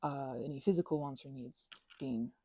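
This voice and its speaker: A woman chatting gently in a meandering ASMR ramble, full of fillers and restarts, speaking affectionately to her listeners. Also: extremely low pitch, normal speed, slightly rough, dry recording. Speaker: ASMR creator. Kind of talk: soft-spoken ASMR ramble while crafting.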